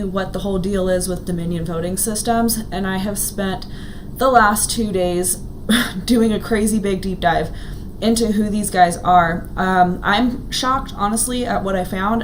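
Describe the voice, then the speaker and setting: Slightly hoarse woman vlogging casually. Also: medium pitch, slight background noise, poor audio. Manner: chatty, earnest, many ums and restarts. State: a little hoarse. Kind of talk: casual talk to camera to live viewers.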